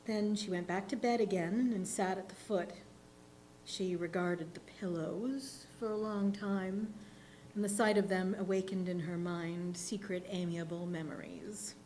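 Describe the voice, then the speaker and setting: A woman reading literary fiction aloud as a theatre reader, with measured, deliberate phrasing. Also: lowish voice, fairly even intonation, a little mellow, slight background noise, poor audio.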